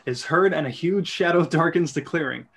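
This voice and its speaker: A man's voice: fairly high pitch, normal speed, vocal fry, fairly bright tone, breathy edge. A man reading stage directions as narrator in an amateur script reading.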